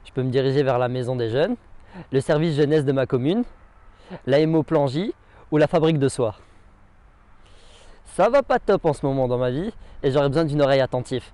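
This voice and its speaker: A male presenter with a mellow, mid-pitched voice. He narrates a recap in the first person. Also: expressive, fairly quick, long pauses, dry recording.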